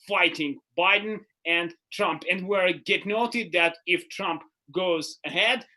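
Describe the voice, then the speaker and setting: Animated man with halting English. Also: high-pitched. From Eastern Europe. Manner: animated, halting, laughs often, non-native grammar. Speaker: co-host. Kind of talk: casual online panel chat. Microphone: video call.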